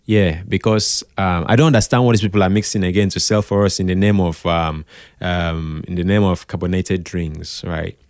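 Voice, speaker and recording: A Nigerian man talking casually as an interview guest, expressive, slipping into Pidgin and repeating phrases for emphasis. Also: deep voice, normal speed, no room sound, studio quality. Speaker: podcast guest, radio drama producer.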